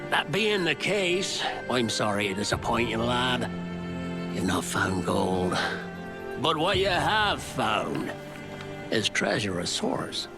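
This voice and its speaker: An actor playing a gruff, wry old pirate captain reading out his letter in a game cutscene, deliberate and theatrical, with colloquial seafaring speech. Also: medium pitch, very animated. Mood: earnest, fatherly. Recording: loud music under the voice.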